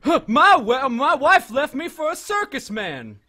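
A woman voicing a blustering, weepy amusement park booth man in an improvised comic cartoon fan dub, halting and exclaiming. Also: high-pitched, very animated, pushed and bright, slight background noise, exaggerated voice. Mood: blustering, distraught.

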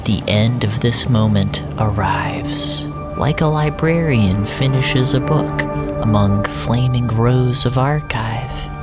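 A man telling a dramatic first-person story in deliberate, drawn-out phrases with frequent pauses. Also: medium pitch. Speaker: narrator of a fiction podcast. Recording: music playing behind the voice. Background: prominent music.

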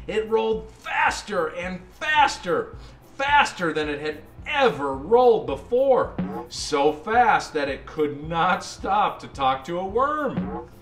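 A man reading a children's picture book aloud in an expressive, dramatic and deliberate way. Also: high-pitched, reverberant. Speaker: storyteller.

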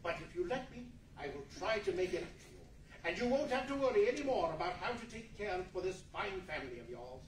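A man performs an old miser turned generous and exuberant in a live radio play. His theatrical voice is high and very lively. It is heard from far off in an echoey meeting room. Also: quick pace, a little hiss or hum. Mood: excited, generous. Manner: theatrical, effusive. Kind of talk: 1940s radio play acting before an audience. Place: large indoor meeting room, live stage reading. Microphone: far camera.

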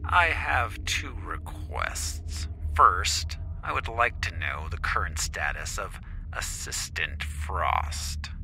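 An actor playing a pompous, cold and venomous corporate counselor with formal, deliberate diction and a gravelly voice in a science fiction audio drama. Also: male voice, low-pitched, expressive, pushed and bright, slight background noise, full character voice. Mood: haughty, cold.

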